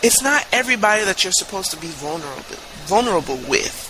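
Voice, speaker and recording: A woman's voice: medium pitch, lively intonation, quick pace. Woman podcast host, chatty and emphatic. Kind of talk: casual conversational chat. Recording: audible background noise.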